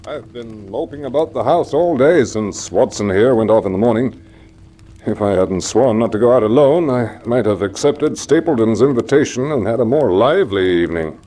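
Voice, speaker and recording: A man's voice: mid-range voice, expressive, moderate pace, mellow, lightly acted. A man playing a frank, amiable baronet in a radio drama, speaking in an informal, blurting, agreeable way. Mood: restless. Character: frank, amiable male baronet, heir to country estate.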